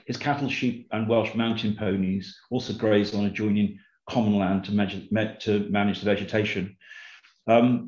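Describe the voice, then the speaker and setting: A man's voice: fairly low pitch, darkish tone. An older man introducing a speaker as moderator, hesitant with frequent ums and restarts, over a video call. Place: home room. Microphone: video call webcam. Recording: dull, roomy video call audio.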